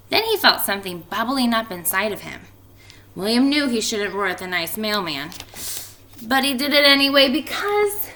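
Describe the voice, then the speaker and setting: A woman speaking as a teacher doing a storybook read-aloud for children, expressive and emphatic in her delivery. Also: a little high, creaky, slight background noise. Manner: animated, expressive delivery with emphatic stretched words.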